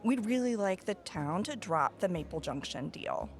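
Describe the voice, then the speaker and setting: A woman's voice: fairly low pitch, very animated, normal speed, a little hiss or hum. An actress playing an insistent woman housing activist in an audio drama, speaking earnestly and pressingly. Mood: earnest, pushy.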